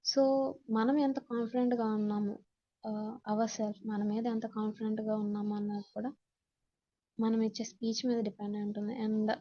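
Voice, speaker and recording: A woman's voice: medium pitch, little pitch movement, brisk, muffled recording. A woman with a Telugu accent giving a lesson as an instructor, reading out points and then explaining them with repetition. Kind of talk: slide-based lesson, explaining in Telugu and English.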